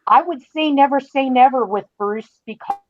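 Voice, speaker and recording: A woman's voice: high-pitched, moderate pace. A woman speaking as a podcast guest, giving news in a casual, chatty way. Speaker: podcast guest, fan-site writer. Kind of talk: casual informative chat. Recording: slightly dull online-call audio.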